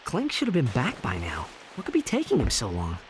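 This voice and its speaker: An actor playing a youthful, eager alien hero in a video game, casual and expressive. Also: male voice, medium pitch, brisk, slight background noise. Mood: impatient.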